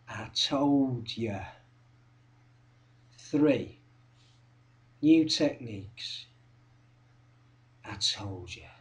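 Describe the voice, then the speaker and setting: An actor performing a theatrical monologue as an obsessive, confrontational young male skinhead who relives his violent past. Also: high voice. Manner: obsessive, confrontational, repetitive, swinging from hushed to shouting. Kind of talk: theatrical dramatic monologue, direct address. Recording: roomy echo, distant microphone.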